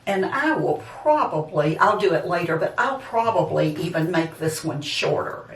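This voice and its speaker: A woman in her seventies chatting casually and a bit ramblingly to her viewers, addressing them directly. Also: fairly low pitch. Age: 70s, seventy-six. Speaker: vlogger. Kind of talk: casual personal chat to viewers. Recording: roomy echo, distant microphone.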